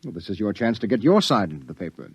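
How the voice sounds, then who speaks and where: A blunt, tough reporter. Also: male voice, lowish voice, big pitch swings, quick pace, husky, dry recording, full character voice. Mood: pressing. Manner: blunt, persuasive, streetwise. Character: tough, self-confident male newspaper reporter. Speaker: newspaper reporter. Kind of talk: 1950s radio drama acting. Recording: dull, dry old radio recording.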